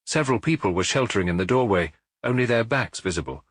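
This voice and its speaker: A male audiobook narrator reads detective fiction with lively, varied intonation. His voice sits slightly low with a husky grain, and the recording is close and very dry. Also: fast talker, dry recording. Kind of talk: scripted literary narration of a detective novel.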